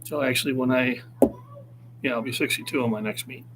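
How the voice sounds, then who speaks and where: A man's voice: mid-range voice, fairly even intonation, brisk, very noisy. A man in his sixties, a fitness coach talking casually on a livestream and urging viewers to keep active. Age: 60s. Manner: encouraging, motivational.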